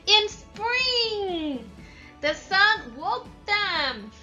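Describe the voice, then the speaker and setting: A woman reads and explains a picture book for children as a teacher would. Her voice is high and bright, and her pace is slow. Soft music sits behind her. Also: big pitch swings, muffled recording. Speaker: read-aloud storyteller and teacher. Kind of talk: children's story read-aloud and explanation.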